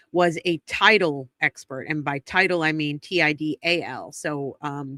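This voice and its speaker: A woman's voice: on the low side, bright, forceful tone, no room sound, studio quality. A congested woman co-hosting a true crime livestream, talking casually and thinking aloud with many ums and restarts. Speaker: true crime stream co-host. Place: home studio near the DC area. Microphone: close streaming mic.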